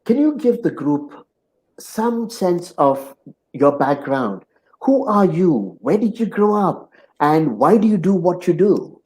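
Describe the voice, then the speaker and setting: A man in his sixties hosting a conversation show, speaking in an earnest, measured way from his home office. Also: high voice, sing-song, dark tone, rough recording. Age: 60s. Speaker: host. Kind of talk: hosted conversation opening monologue.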